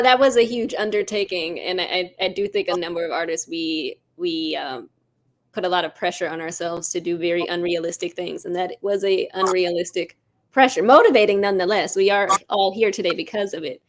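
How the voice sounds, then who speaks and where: A woman giving reflective, conversational answers as an interview guest, with hesitant, measured phrasing. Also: lowish voice. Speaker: writer-director, interview guest.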